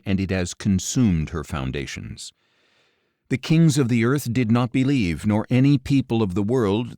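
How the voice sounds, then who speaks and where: Male scripture narrator, lower register, solemn and deliberate phrasing, very dry studio-style sound. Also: dark tone, dry recording. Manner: measured, grave delivery with deliberate phrasing. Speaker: audiobook narrator.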